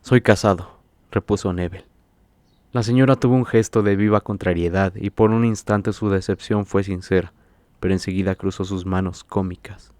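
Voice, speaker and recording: A man narrating a literary story in a measured, scripted audiobook reading. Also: sits low, fairly even intonation, a little mellow, no room sound.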